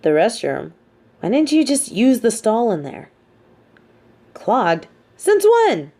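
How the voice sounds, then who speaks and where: Smug, mellow female supervillain, acted by a woman. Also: a little high, wildly swooping pitch, takes it slowly, voice pushed up, exaggerated voice. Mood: exasperated. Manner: smug, articulate, sardonic. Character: female supervillain, adult, smug, mean but sympathetic captor. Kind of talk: dramatic audio roleplay monologue.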